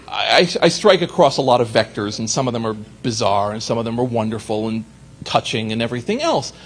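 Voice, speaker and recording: A man's voice: mid-range voice, husky. A man giving a conference talk, telling a story with profane comic asides and acted-out exchanges. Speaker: conference speaker. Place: conference hall.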